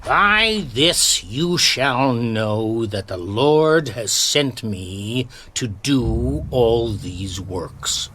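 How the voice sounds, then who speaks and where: A man playing a solemn, authoritative prophet who leads his people, declaiming slowly and weightily in a dramatized scripture recording. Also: mid-range voice, animated, bright, forceful tone, a little hiss or hum, full character voice. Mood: solemn, resolute. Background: music.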